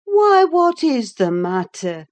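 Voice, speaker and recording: Woman playing sensible servant woman, firm, exasperated, chiding, unhurried, storybook character. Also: fairly high pitch, very animated, mellow, pitched above the performer's own voice, exaggerated voice. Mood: urgent chiding.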